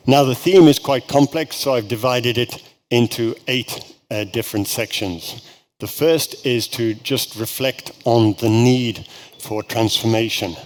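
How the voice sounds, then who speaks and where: Slow-talking male conference speaker. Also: fairly low pitch. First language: English. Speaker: guest speaker, agriculture researcher. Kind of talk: conference presentation.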